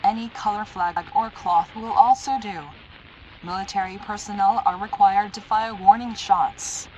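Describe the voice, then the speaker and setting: A woman's voice: medium pitch, fairly even intonation, unhurried, darkish tone, highs cut off. A woman reading a scripted emergency alert as an announcer, in a formal, impersonal, automated-sounding delivery. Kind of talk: scripted emergency broadcast narration. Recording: noisy analog horror alert broadcast.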